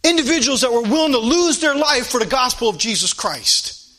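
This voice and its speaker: A man's voice: extremely high pitch, animated, fairly quick, bright, forceful tone. A man preaching a sermon with fervent, emphatic delivery and many rhetorical questions, in a church. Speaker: pastor. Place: church sanctuary. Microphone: live stream recording.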